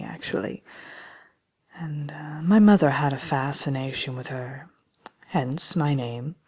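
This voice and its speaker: A woman voicing a haughty, devious wicked witch in a soft-spoken ASMR manner, with drawling, whispery phrases as she hosts a question-and-answer show. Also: low-pitched, exaggerated voice. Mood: sly, admiring. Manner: slow, deliberate, drawling, with whispery trailing phrases. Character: wicked witch, deviously gloating, haughty, fond of scaring children. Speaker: character host answering listener questions.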